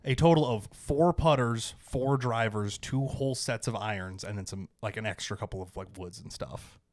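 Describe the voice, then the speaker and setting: A man's voice: lowish voice, normal speed, a little mellow, dry recording, clean studio sound. A man co-hosting a podcast, explaining things in an informal, matter-of-fact way in casual conversation. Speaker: podcast co-host. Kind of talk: casual chat.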